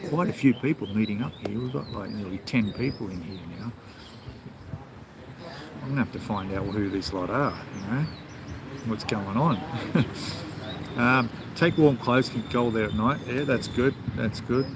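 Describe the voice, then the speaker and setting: A man's voice: mid-range voice, normal speed, pauses often. A man with an Australian accent, hosting a casual travel live stream and answering viewer comments in a rambling, chatty way, with plenty of ums and restarts. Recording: clear outdoor background noise.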